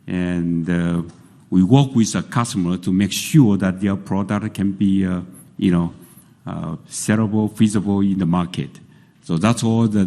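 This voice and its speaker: A man's voice: low voice, warm tone. A male company executive answering off the cuff on an earnings call in a blunt, plain-spoken way. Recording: reverberant conference room call sound.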